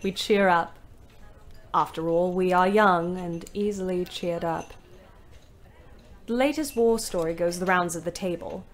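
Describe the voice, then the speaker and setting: A woman's voice: lowish voice, measured pace, pauses often, lightly acted. Wry young woman ambulance driver, emphatic as an actress plays her. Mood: wry, resigned, then cheerful. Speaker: actor narrating an audio play. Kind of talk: dramatised diary narration.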